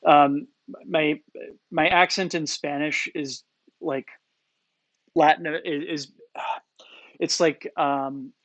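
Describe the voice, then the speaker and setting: A man's voice: fairly high pitch, fairly even intonation, drawn-out words, pauses often, fairly bright tone, no room sound. A man with a Coloradan accent answering viewer questions in a live-stream tutorial, hesitant, with many fillers and restarts, in a small indoor room. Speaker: poi spinning instructor.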